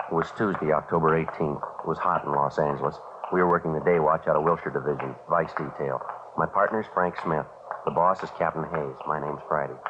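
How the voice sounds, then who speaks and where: An actor playing a terse, no-nonsense police detective with a deadpan, clipped delivery in 1950s radio drama style. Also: male voice, rumbling low, a little fast. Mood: matter-of-fact. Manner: deadpan, clipped, matter-of-fact. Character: terse, no-nonsense police detective sergeant narrating his case. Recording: noisy, dull old radio recording.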